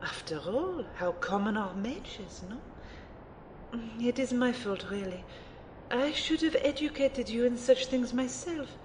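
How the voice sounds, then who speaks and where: Lady doing a mage teacher, snooty but fond, slow n drawn out, kinda high, audio drama. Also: lively intonation, background noise. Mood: gentle, knowing. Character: haughty, fond French female rune mage mentor. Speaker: voice actor in an amateur audio drama. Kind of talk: acted dialogue in an audio drama.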